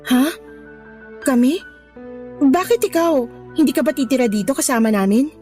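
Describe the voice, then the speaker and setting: A woman's voice: high voice, animated, moderate pace, warm tone, faint music behind. A woman with a Filipino accent playing a coaxing, sweet-talking, money-minded young mistress in a Tagalog radio drama.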